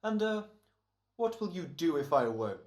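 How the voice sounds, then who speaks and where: A man's voice: fairly high pitch, sing-song, moderate pace, a little mellow. Man as wry, swooping radio actor in echoey room. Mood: mocking defiance. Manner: sardonic, theatrical. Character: Ed, wry wisecracking male radio actor in rehearsal. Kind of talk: old-time radio comedy-horror acting. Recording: roomy echoing home recording.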